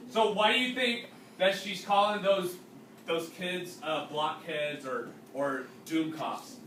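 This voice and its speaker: Bright-voiced male teacher reading aloud, roomy sound. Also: a little high. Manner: explanatory, pausing to question young listeners. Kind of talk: read-aloud storytelling with explanations and questions. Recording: roomy, distant mic with faint noise.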